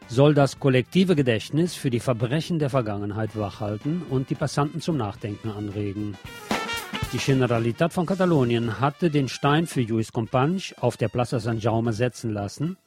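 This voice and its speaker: A man's voice: mid-range voice, a little mellow. Male radio presenter with music behind him. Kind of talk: scripted news magazine narration.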